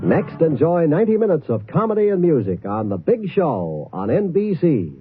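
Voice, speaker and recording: A man's voice: a little high, big pitch swings, normal speed, loud music behind the voice. A man working as a network radio announcer, reading promos in a 1950s broadcast style. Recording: narrow-band vintage radio broadcast.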